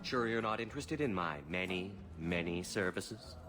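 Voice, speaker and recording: A man's voice: low-pitched, animated, normal speed. An actor playing a flirtatious, theatrical male escort in a video game, with a suggestive, dramatic delivery. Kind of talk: video game dialogue acting. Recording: music behind the voice.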